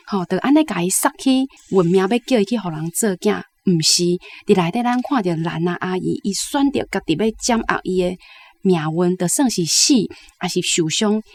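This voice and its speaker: A woman's voice: mid-range voice, a little mellow. A woman with a Taiwanese accent giving literary commentary as a guest on a radio programme.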